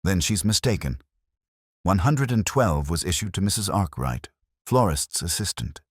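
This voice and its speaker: A man's voice: sits low, lively intonation, moderate pace, slightly rough, dry recording, studio quality, voice pushed up. A man voicing a helpful village vicar in a mystery story, careful and factual. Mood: certain.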